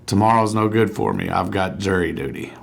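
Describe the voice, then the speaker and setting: Guy playing a chill proud cartoon roofer, kinda low voice, earnest and agreeable. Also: a little fast, gravelly. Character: easygoing, civic-minded small-town roofer. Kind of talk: animated comedy dialogue.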